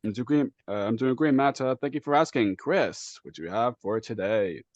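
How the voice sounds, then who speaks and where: An upbeat male podcast host with a lively voice that rises and falls a lot as he greets his guests and co-hosts. The sound is dry and close. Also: mid-range voice, moderate pace, no echo, highs cut off. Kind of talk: casual interview chat.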